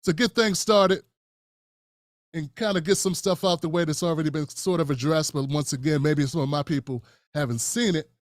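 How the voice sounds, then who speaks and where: A man hosting a live-stream interview, speaking in a measured way with frequent fillers and restarts. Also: on the high side, no echo, clean studio sound. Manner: measured, many fillers and restarts, calls guest brother. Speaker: host.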